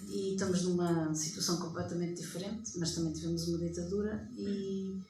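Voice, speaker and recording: A woman's voice: fairly low pitch, fairly even intonation, fairly quick, mellow. A woman from Portugal with a European Portuguese accent, commenting from the audience at a public debate. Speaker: audience member. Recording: echoey room with faint noise.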